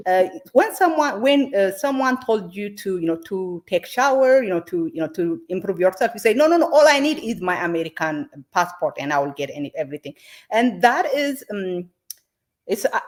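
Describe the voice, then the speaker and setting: Woman grilling a guest. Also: medium pitch. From Ethiopia. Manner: halting, heavy with fillers, pointed accusing questions. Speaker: host. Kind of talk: confrontational interview.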